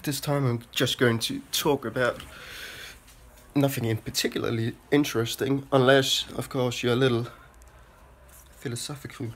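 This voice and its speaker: A man in his twenties with a Danish accent and a stuffy nose from a cold, musing in a halting, rambling monologue full of restarts, recorded on a phone held at arm's length in a small room. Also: mid-range voice. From Denmark. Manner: halting, many restarts and filler sounds. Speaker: vlogger. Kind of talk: rambling personal monologue to camera.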